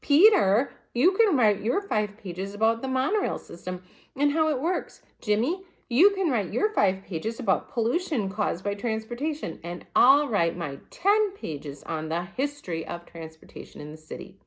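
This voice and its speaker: A woman's voice: fairly high pitch, very animated, normal speed, voice pushed up. A woman voicing a bossy, exacting schoolgirl from a storybook in an emphatic, insistent manner.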